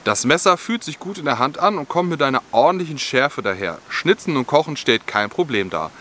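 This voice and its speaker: A young man with a bright, clear-edged voice talks through camping gear in a friendly, explanatory way. He is filmed outdoors in a forest, speaking to the camera as the channel's host. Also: on the high side, big pitch swings, a little fast, a little hiss or hum. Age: young adult. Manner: friendly, explanatory, with scripted-sounding product details. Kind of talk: gear review vlog.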